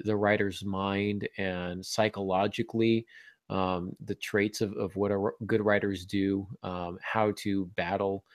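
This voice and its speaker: A man's voice: fairly low pitch, gravelly, no room sound, studio quality. A man hosting a podcast in a casual, chatty way, sounding congested and a little under the weather. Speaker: podcast host.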